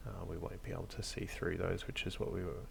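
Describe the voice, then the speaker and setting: A man hosting a hobby tutorial, narrating casually off the cuff in a hesitant, low-key, encouraging way. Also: flat delivery, fast, gravelly, a little hiss or hum. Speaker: hobby craft tutorial host.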